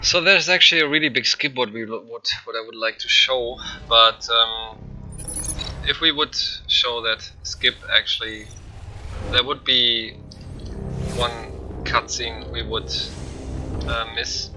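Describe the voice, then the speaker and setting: A man's voice: mid-range voice, fairly even intonation, a little slow, sharp tone, a little hiss or hum, poor audio. Man doing casual game commentary. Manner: plain, explanatory. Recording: game music behind the voice.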